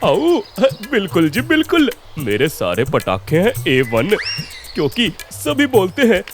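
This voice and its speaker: A man's voice: high-pitched, huge pitch swings, exaggerated voice. A man voicing a boastful, slick village firecracker seller in a cartoon, with theatrical hawker's calls. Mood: boastful showman. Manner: theatrical hawker with a drawn-out catchphrase call. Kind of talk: exaggerated Hindi cartoon voice acting. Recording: loud music behind.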